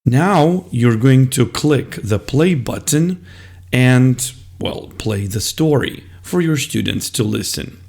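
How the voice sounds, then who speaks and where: A man explaining classroom methods as a teacher, in a deliberate, step-by-step instructional style. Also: medium pitch, expressive, creaky, darkish tone.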